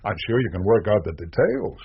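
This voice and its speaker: A man's voice: on the low side, big pitch swings, fairly quick, gravelly. An actor playing a guarded, principled small-town newsman in a radio drama, speaking with dry evasiveness. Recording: dull, hissy old radio recording.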